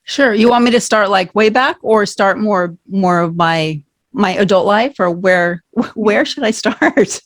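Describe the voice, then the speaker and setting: A woman's voice: mid-range voice, a little slow, no room sound. A woman from Norway, a guest on an interview podcast, talking candidly and rambling a little. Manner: candid, rambling, laughs often. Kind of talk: casual interview talk.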